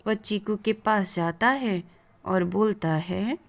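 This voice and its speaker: A woman's voice: mid-range voice, slow pace, creaky. A woman narrating a scripted children's moral story as the storyteller.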